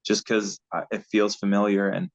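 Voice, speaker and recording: Low-voiced male coach, earnest and meandering, heard over a muffled call line. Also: little pitch movement, moderate pace. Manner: earnest, loose and rambling, laughs at own slips. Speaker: guest relationship coach. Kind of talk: casual spiritual advice talk. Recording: dull lo-fi audio.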